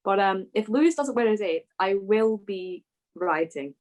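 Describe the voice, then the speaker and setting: A woman's voice: medium pitch, expressive, a little slow. A woman chatting casually as a fan on a podcast panel, informal and emphatic, often saying "like".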